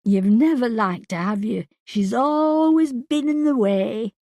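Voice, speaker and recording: A woman voicing a distraught mother whose daughter is missing, pleading and shouting accusations at her husband. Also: mid-range voice, little pitch movement, slow, vocal fry, dry recording, studio quality. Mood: furious.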